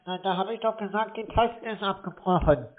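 Male prank caller, notably high voice, deadpan innocent delivery, narrow telephone sound. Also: fairly quick. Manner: feigned naive, deadpan. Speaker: prank caller playing a naive customer. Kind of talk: deadpan prank phone call. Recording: thin, band-limited phone call.

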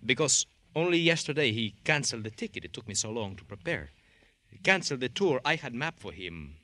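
An actor playing a courtly, florid travel agent with a Spanish accent in a radio drama, formal and courteous. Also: male voice, medium pitch, very animated, brisk, bright, forceful tone, no echo. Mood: philosophical, suave. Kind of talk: old-time radio crime drama acting.

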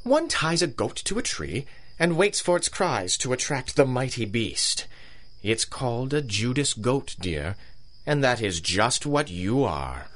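A pompous old-school colonel who hunts for sport in a radio play. He is courteous and theatrical, with menace under the manners, and his pitch sweeps dramatically up and down. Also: sing-song, unhurried, a bit bright. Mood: grandiose menace. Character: pompous British colonel, big-game hunter villain, coldly courteous.

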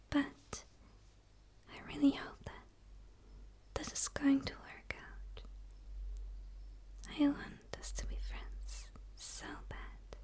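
A woman voicing a gentle, curious dark alien in a soft, tentative, pleading ASMR roleplay whisper. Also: high-pitched. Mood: earnest, hopeful. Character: gentle, curious female dark alien eager for human friendship.

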